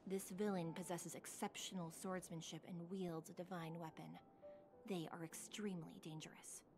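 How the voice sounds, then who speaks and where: A woman playing a stern, dutiful female judge in a video game, speaking in a formal, grave, measured way. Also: on the low side, flat delivery, music in the background, natural voice. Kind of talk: scripted video game voice acting.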